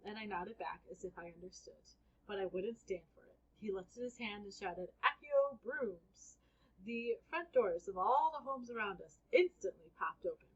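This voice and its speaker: A woman reading a children's book aloud as an expressive first-person storyteller. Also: medium pitch, breathy edge, highs cut off.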